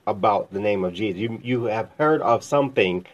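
A man's voice: fairly low pitch, moderate pace. A man in his fifties hosting a casual faith talk, asking long, rambling questions in a conversational way. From America. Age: 50s. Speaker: host. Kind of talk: casual interview chat. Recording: dull lo-fi sound.

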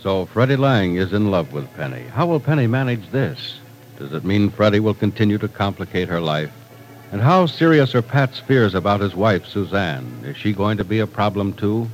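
Casting: male American announcer, vintage radio serial and sponsor reads, very lively intonation, polished salesman delivery. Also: lowish voice, normal speed, faint music behind, low-quality recording. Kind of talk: mid-century radio announcing and ad read.

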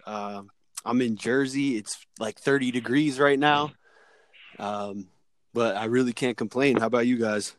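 A man on a remote call chatting as a guest, telling stories in a measured, hesitant way with many fillers. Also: mid-range voice, no room sound. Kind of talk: casual podcast chat.

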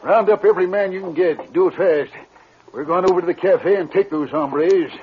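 Male actor as tough sheriff, commanding, raised pitch, radio western, faint static. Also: moderate pace, natural voice. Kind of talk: old-time radio western acting. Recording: tinny old radio recording, faint noise.

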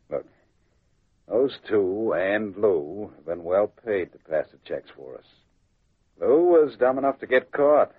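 An actor playing a cold, ruthless, domineering gangster boss in a 1940s radio drama. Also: male voice, on the low side, animated, takes it slowly, pauses often, husky, full character voice. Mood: impatient. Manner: callous, domineering. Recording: tinny old radio recording.

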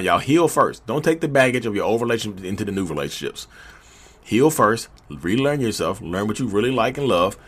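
A man's voice: fairly low pitch, fairly bright tone. A man hosting a podcast, talking casually and emphatically to his listeners and repeating his points for stress. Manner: emphatic, repeats himself, addresses listeners as y'all. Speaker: podcast host. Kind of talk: casual motivational monologue.

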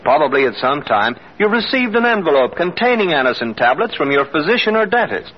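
An American male announcer reads a 1940s radio advertisement. His voice is fairly high and animated with a husky edge, on a muffled vintage broadcast recording. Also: a little fast. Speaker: commercial announcer.

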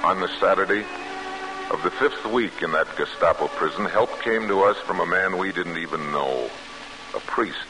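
A man's voice: low voice, moderate pace, low-quality recording. An actor playing a grim, determined escaped prisoner telling his story in 1940s radio drama style. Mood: grim, weary. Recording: old 1940s radio broadcast, music behind.

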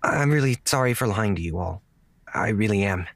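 A man playing an earnest, apologetic young man who speaks haltingly in an audio drama. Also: fairly low pitch, expressive, dry recording.

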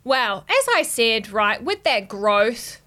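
A young woman with a New Zealand accent co-hosts a podcast in a brash, playful, sweary way. Her voice sits a little high and sounds bright and forceful. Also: sing-song, slow. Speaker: podcast co-host. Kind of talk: casual chat.

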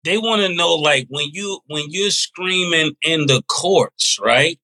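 A man's voice: on the high side, slow, bright, forceful tone, rough recording. A man co-hosting a podcast, casual and probing, asking pointed questions. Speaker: podcast co-host.

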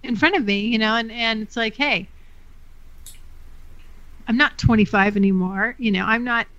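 A woman with a fairly high voice hosts a casual podcast chat. She talks haltingly, circling back on her words, and her sound is a little dull and muffled. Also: a bit bright. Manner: hesitant, lots of fillers and restarts. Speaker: podcast host.

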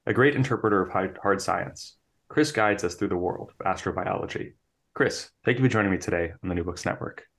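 A man's voice: low-pitched, fairly quick, gravelly. A young man hosting an interview podcast, talking hesitantly with lots of restarts and fillers. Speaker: podcast interviewer, assistant editor.

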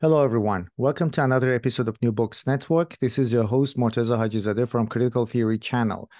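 Polite podcast host, dry sound. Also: male voice, medium pitch, fast talker, a little mellow, no echo. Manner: polite, careful, apologetic over names. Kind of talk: podcast interview.

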